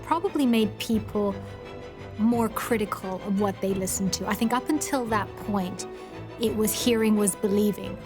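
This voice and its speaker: A woman with a mid-pitched voice comments in a documentary interview. Music plays clearly behind her. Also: moderate pace.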